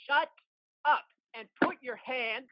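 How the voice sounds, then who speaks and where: Casting: federal agent at the end of their patience female actor, high, barking, forceful, audio drama. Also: slow, dry recording, dull audio. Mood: angry, exasperated. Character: Leams, exasperated, commanding federal agent under pressure.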